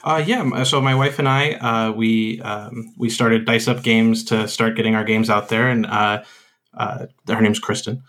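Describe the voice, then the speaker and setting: Rambling game designer, many ums. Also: male voice, fairly low pitch, steady tone, a little slow, fairly bright tone. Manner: rambling, full of uhs and restarts. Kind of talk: casual interview chat.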